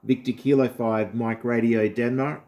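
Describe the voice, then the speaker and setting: A man's voice: medium pitch, little pitch movement, moderate pace, lo-fi sound, slight background noise. A man with an Australian accent chatting casually as an amateur radio operator, friendly and complimentary in manner. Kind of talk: casual ham radio conversation.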